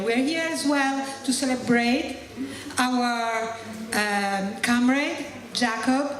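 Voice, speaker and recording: A woman hosting a live stage show with animated, laughing patter. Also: on the high side. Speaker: show host. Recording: echoey hall from far off with crowd.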